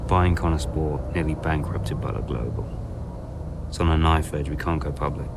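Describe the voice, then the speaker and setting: A man's voice: a bass voice, steady tone, heavy background noise. An actor playing an evasive, impatient male team boss in a video game cutscene, with a sarcastic, dismissive way of talking. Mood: subdued, troubled. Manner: sarcastic, dismissive, quick to deflect.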